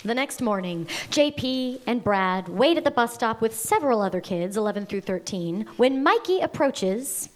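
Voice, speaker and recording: A woman's voice: a little high, animated, moderate pace, few pauses. A woman reading stage directions as scripted narration at a live table read.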